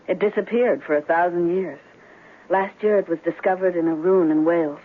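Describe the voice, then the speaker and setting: A woman's voice: on the low side, steady tone, normal speed, slight background noise, close to an everyday voice. An actress playing an alluring, evasive female client in a 1970s detective radio drama. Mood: pleading. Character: alluring, evasive female client in trouble, noir femme fatale. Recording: muffled old radio broadcast.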